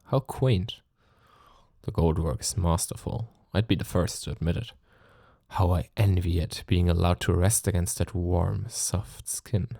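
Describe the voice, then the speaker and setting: A man's voice: low-pitched, steady tone, measured pace, vocal fry, warm tone, no room sound, clean studio sound, exaggerated voice. A man playing a suave, sadistic vampire lord in an intimate ASMR roleplay, silky and condescendingly polite, lingering on his phrases. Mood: curious, mocking.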